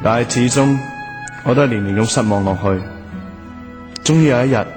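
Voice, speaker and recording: An actor playing a lovelorn, hopeful young student in a radio drama, earnest and wistful. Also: male voice, medium pitch, a little fast, a little mellow, natural voice. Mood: wistful, sad. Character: lovelorn, hopeful young male student waiting for his girlfriend. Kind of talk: Cantonese radio drama acting and first-person narration. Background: music.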